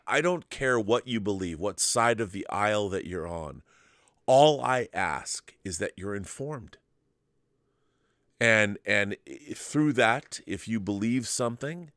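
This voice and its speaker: A man's voice: lowish voice, a bit bright, no echo, studio quality. A middle-aged man hosting a talk show, giving his opinions emphatically in casual discussion and often repeating words as he gets going.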